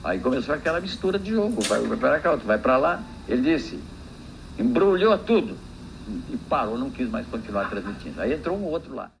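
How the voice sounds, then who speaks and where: A man's voice: mid-range voice, brisk, vocal fry. An older Brazilian man, a veteran broadcaster, informally telling an anecdote from memory in a noisy archive recording. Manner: animated anecdotal telling, acting out quotes. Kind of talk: informal spoken reminiscence.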